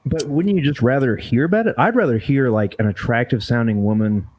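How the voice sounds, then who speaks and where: A man's voice: medium pitch, a little fast, darkish tone. A man co-hosting a podcast in casual banter, with a crude, joking manner and free swearing. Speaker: podcast co-host.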